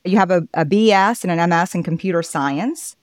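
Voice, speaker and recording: A woman's voice: lowish voice, brisk, no room sound, clean studio sound. A woman hosting a podcast, asking upbeat, conversational questions. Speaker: podcast host. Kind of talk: interview hosting.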